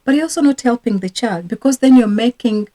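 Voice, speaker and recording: A woman's voice: a little high, a little fast, warm tone. A woman from Rwanda, a teacher and parenting coach, talking casually and earnestly to camera about her own parenting regrets. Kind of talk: casual advice talk to camera.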